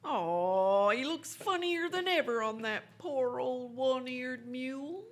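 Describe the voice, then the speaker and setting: A woman's voice: sits high, lively intonation, slow pace, exaggerated voice. A woman playing a chatty, folksy Old West townsperson in a western radio drama, with colloquial, drawling, gossipy talk. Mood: curious, sympathetic.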